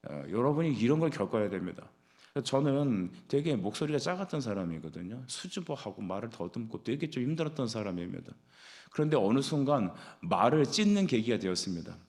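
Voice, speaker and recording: A male pastor delivers an expository sermon in a mid-range voice. He exhorts the congregation directly. He often turns to them with rhetorical questions as he explains. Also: mid-range voice. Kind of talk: dawn service sermon, expository preaching.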